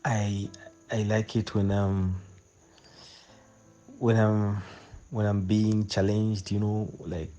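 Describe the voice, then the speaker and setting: Slow, low-voiced young Zambian man. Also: little pitch movement, mellow, lo-fi sound, faint music behind. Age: 20s. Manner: hesitant, repeats phrases as he thinks. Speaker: guest poet. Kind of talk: conversational interview answers.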